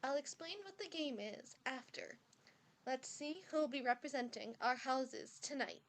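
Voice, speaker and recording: A woman's voice: sits high, normal speed. A woman playing a stern but kindly older witch professor, formally addressing pupils in an amateur audio drama. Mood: brisk, orderly.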